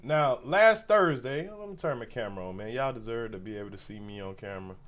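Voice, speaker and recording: A man's voice: medium pitch, animated, fairly quick, a bit bright. A man in his forties hosting a casual livestream commentary, slangy and rambling, with a stuffy nose. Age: 40s. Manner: loose, rambling, slangy, talks straight to viewers. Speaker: commentary channel host.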